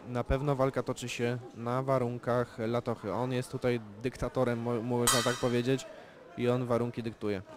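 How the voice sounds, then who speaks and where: Male boxing commentator, even monotone delivery, steady analytical play-by-play, crowd noise loud behind him. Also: medium pitch. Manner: calm, measured play-by-play with technical analysis. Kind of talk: live sports commentary. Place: boxing event venue.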